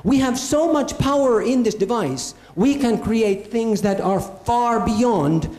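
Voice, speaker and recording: A man speaks to a conference audience, pressing his points and repeating phrases for stress. His voice sits high with a warm, mellow tone. The sound carries the echo of a large hall. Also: slow. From Finland. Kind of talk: live keynote talk. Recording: echoey hall.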